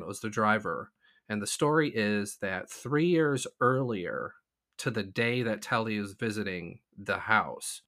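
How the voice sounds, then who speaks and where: Man, talk show co-host, fairly low pitch, bright sharp tone, informal storyteller.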